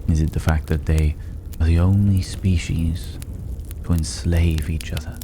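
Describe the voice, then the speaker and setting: A man playing a regal, teasing drifter with a secret in an intimate ASMR roleplay, speaking with wry condescension and drawn-out pauses. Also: sits low, fairly even intonation. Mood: probing, teasing. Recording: clearly audible background noise.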